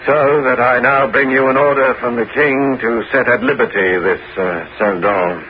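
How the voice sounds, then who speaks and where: A man in a 1970s radio drama playing a scheming, smooth-talking bishop, coaxing and commanding with feigned politeness. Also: medium pitch, moderate pace, natural voice. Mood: smooth, persuasive. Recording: noisy, dull 1970s radio broadcast.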